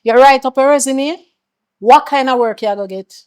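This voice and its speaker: A woman's voice: sits high, moderate pace, a little mellow, smooth, close to an everyday voice. An actress playing a stern, exasperated middle-aged mother with a Jamaican accent, scolding and confronting her grown son with pointed questions.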